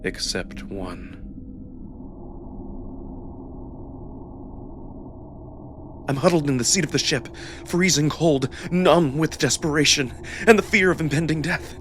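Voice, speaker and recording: A man's voice: on the high side, expressive, normal speed, over music, lightly acted. A man playing a feverish, fearful young man, narrating his nightmares in an intense, emotional first-person style. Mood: bleak, dazed.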